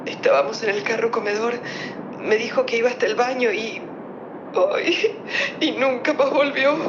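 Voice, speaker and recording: A woman's voice: a little high, fairly even intonation, normal speed, lightly acted. An actress playing a genteel, self-regarding older society lady in a radio play, with an affected, grand manner. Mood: dramatic, wistful. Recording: roomy, muffled, noisy recording.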